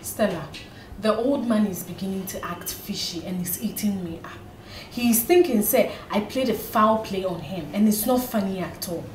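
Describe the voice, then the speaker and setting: A woman's voice: sits high, very animated, moderate pace, fairly bright tone, slight background noise, poor audio. An actress playing a distressed, vengeful young wronged girlfriend in a film drama, speaking with a Ghanaian accent and an exasperated, complaining manner. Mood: confused, troubled. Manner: exasperated, code-switching, complaining. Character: distressed, vengeful woman wronged by her boyfriend. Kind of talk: emotional film drama dialogue in Twi and English.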